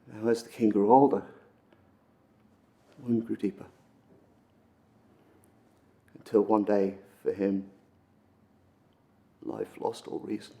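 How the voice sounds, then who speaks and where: A man acting a dramatic monologue as an earnest, wistful storyteller recounting an old legend, with intense pauses and swells. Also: lowish voice, steady tone, creaky, mellow. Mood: grave, sorrowful. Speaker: amateur actor performing a film monologue.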